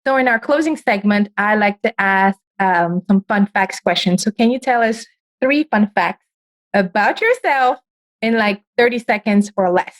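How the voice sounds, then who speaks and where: A woman hosting a podcast, chatting casually with a guest, animated and quick to laugh, often jumping up in pitch. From United States. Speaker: podcast host. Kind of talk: casual interview chat.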